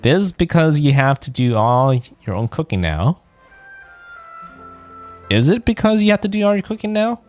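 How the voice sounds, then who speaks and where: A man's voice: fairly high pitch, big pitch swings, normal speed, pauses often, a little mellow, soft background music. A man giving casual, rambling let's-play commentary as a game streamer, musing aloud.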